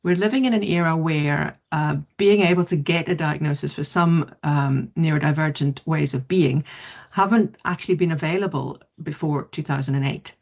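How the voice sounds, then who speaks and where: A middle-aged woman from South Africa, whose first language is not English, giving an online mindfulness presentation in a lively, quick way. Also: low voice, creaky, dull audio. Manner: lively, quick, laughs easily. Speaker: mindfulness teacher.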